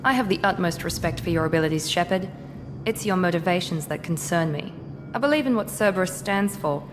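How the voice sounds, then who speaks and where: Brief: actress as an aloof female soldier, curt, lower register, game audio with hiss. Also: expressive, fairly quick, lightly acted. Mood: cool. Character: cool, guarded female Cerberus operative. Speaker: game character. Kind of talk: video game dialogue. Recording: game audio with long reverb and clear hiss.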